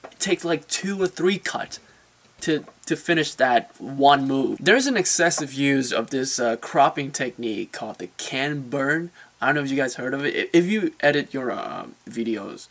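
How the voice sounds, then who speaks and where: A man with a mid-pitched voice hosts a casual review, speaking straight to his viewers. He is informal and opinionated, often doubling back on words as he works out how to phrase his verdict. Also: expressive, moderate pace, fairly bright tone. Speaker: movie reviewer, channel host.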